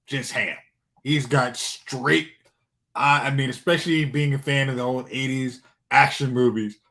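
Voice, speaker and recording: A man's voice: medium pitch, a bit bright. A man chatting casually as a panelist, rambling and hesitant as he thinks aloud. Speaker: podcast panelist. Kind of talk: casual chat.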